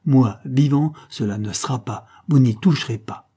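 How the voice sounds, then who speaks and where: A man's voice: medium pitch, moderate pace, slightly rough, voice pushed up, natural voice. A man voicing a stern older aristocrat who scornfully accuses his nephew. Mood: stern accusing. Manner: forceful, indignant reproach.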